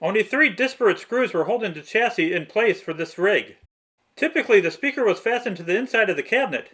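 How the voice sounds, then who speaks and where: A man's voice: high-pitched, expressive, fairly quick, a bit bright, slightly rough. A man reading a scripted, step-by-step technical tutorial narration.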